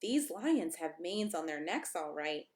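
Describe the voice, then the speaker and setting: A woman's voice: on the low side, very animated, measured pace, a little mellow, pitched below the performer's own voice. A woman voicing a fussy, literal-minded male puppet pal from a storybook in an emphatic manner. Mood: matter-of-fact.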